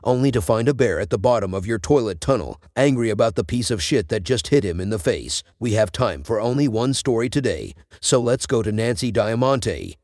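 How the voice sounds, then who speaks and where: A man playing a deadpan, self-mocking artificial-intelligence host of a spoof radio news show. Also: on the low side, fairly quick, hardly any pauses, no room sound, studio quality. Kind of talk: mock radio news anchoring.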